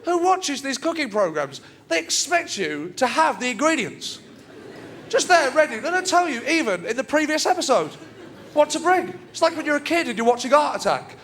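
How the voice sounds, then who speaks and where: Young man with a nasal voice, high-pitched. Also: a little hiss or hum. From England. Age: young adult. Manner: fast deadpan storytelling to a laughing audience. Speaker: stand-up comedian. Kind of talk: stand-up routine. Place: TV studio stage with live audience. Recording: loud studio audience laughter.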